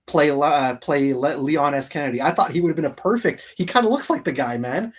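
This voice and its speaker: Raspy guy hosting a nerdy countdown, informal n opinionated, kinda emphatic. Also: fairly high pitch, fairly quick. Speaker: host of a geek countdown livestream. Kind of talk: casual, opinionated chat.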